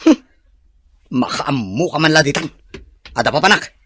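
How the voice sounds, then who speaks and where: A surly gambler husband for radio drama. The voice is husky and close-miked dry, swinging widely as he grumbles and blames. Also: on the high side, animated, moderate pace, a bit bright, dry recording. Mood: surly, accusing.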